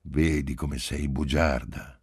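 A man reading a story in the part of a calm father who teases and gently chides his child. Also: extremely low pitch, moderate pace, husky, dry recording, clean studio sound, heavily acted. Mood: playful scolding. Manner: teasing, patient.

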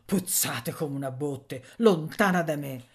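A woman's voice: medium pitch, big pitch swings, a little slow, warm tone, voice pushed up. A woman voicing a proud, moody, hot-tempered young male student in a storybook, with an emphatic delivery. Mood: irritated.

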